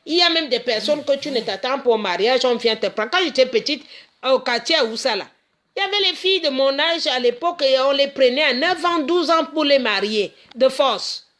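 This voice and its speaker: A woman's voice: high voice, expressive, brisk, a bit bright. A middle-aged woman from Cameroon speaking in an impassioned monologue, emphatic, repeating words and restarting her phrases. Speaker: community association founder.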